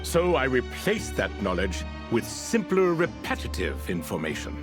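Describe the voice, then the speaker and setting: A man's voice: medium pitch, wildly swooping pitch, normal speed, over music. An actor playing an ancient ibis king spirit in a video game, courteous and wistful, with a theatrical, leisurely storytelling manner. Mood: explanatory, breezy.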